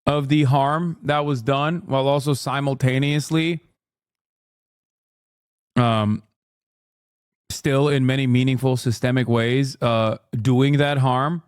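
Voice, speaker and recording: A man's voice: medium pitch, steady tone, slow pace, pauses often, clear, resonant tone, dry recording, clean studio sound. A man from the United States giving casual, rambling live-stream commentary, sweary and exasperated. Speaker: streamer reacting to a video.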